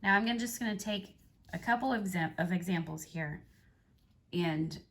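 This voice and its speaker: A woman from North Carolina, hoarse and stuffy from allergies, explaining crochet abbreviations in a casual tutorial. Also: on the low side, fairly bright tone, a little hiss or hum. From North Carolina, United States. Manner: slow, careful spelling out of abbreviations. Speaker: crochet teacher.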